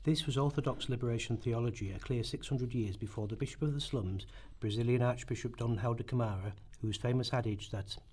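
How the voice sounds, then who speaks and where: A man's voice: mid-range voice, brisk, a little mellow, slightly rough. A man narrating a scripted historical radio play in a formal, earnest manner.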